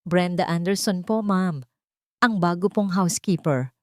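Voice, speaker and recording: A woman voicing a calm, respectful female housekeeper. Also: lowish voice, unhurried, dry recording, clean studio sound, lightly acted. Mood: polite. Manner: respectful, composed.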